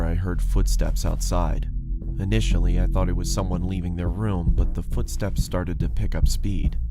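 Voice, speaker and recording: A man reading a scripted first-person horror story as its narrator. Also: deep voice.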